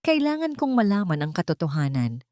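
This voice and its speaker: A guilt-ridden rich woman, troubled and set on the truth, as a female narrator reads her. Her voice sits low, in a dry audio story recording. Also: sing-song, a little fast, darkish tone, no echo. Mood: anguished resolve.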